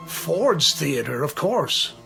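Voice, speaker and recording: An actor playing a courteous, proud officer of the 1860s in a radio drama, formal and old-fashioned. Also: male voice, a little high, big pitch swings, slow, creaky, pushed and bright, soft background music, exaggerated voice. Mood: puzzled, stiff.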